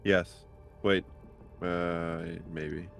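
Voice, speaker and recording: A man's voice: low-pitched, flat delivery, extremely slow, gravelly, soft background music. A man playing a tabletop game, talking casually with the group.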